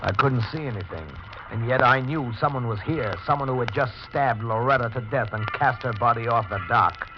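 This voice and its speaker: An actor playing a stern, methodical homicide lieutenant in a 1940s radio drama, speaking in a deliberate, precise, dramatic way. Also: male voice, mid-range voice, natural voice. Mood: tense, grim. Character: stern, methodical male police homicide lieutenant giving testimony. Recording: muffled 1940s radio recording with music.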